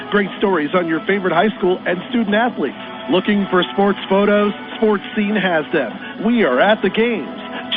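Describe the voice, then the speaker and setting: A man reading an upbeat radio commercial in a peppy announcer style. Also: high voice. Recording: tinny radio ad over music.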